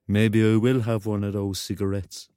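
An actor playing the laid-back ghost of a rock star, laconic and dryly teasing, in a radio drama. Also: male voice, fairly low pitch, little pitch movement, normal speed, warm tone, clear, resonant tone, no echo, studio quality, natural voice. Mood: concerned, easygoing.

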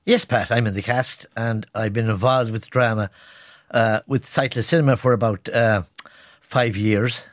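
An Irish man speaks earnestly and with emphasis as a guest on a radio programme. His pitch sits in the middle range for a man. The studio sound is dry, with no room echo. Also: steady tone, moderate pace. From Ireland. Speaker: guest performer. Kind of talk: radio interview. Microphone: studio microphone.

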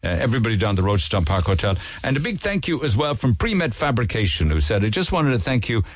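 A man with a Cork Irish accent hosting a radio show, reading listener shout-outs and teasing callers. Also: medium pitch, very animated. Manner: brisk, jokey, teasing. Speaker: radio host. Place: radio studio.

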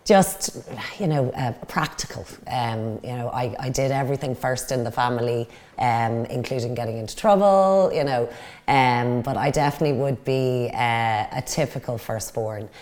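A woman's voice: very deep voice, moderate pace, darkish tone. A woman from Dublin with an Irish accent, answering interview questions conversationally as a business guest. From Dublin, Ireland. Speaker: interview guest, company executive.

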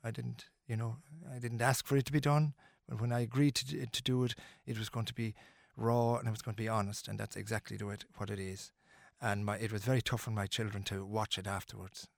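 A man's voice: lowish voice, flat delivery, a little fast, gravelly, few pauses, no room sound, studio quality. A middle-aged Irish man, a musician being interviewed, talking earnestly and emphatically with many restarts and fillers. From Ireland.

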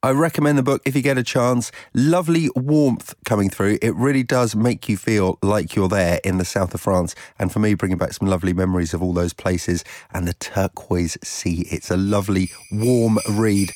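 British guy radio presenter chatting about a book, lowish voice, dry recording. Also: moderate pace, hardly any pauses, darkish tone, no room sound.

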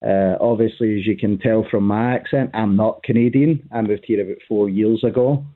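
A man's voice: lowish voice, normal speed. A man phoning in to a football radio show, speaking earnestly. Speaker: caller. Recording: tinny phone line.

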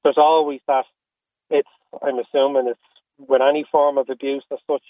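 A middle-aged Irish man talks candidly as an interview guest over a narrow phone-like line. His voice sits at an ordinary male pitch, and he speaks earnestly, often stopping and repeating himself. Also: little pitch movement, normal speed, no room sound. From Australia and Ireland. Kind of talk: candid personal conversation. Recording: phone-like, narrow-band radio call.

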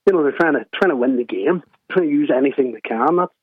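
A man from Northern Ireland with a Northern Irish accent, calling in to a radio show and chatting in a relaxed way. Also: a little high, quick pace, husky, no echo. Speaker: radio caller. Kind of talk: casual phone-in chat. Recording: tinny phone line.

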